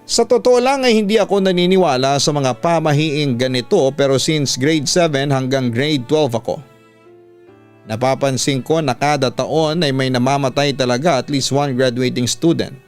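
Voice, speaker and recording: A man's voice: medium pitch, clean tone. A Filipino man, a radio host, narrating a listener's story in a conversational way, mixing in some English. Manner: conversational Tagalog-English code-switching. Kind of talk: scripted first-person story narration, reading a listener's letter. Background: background music.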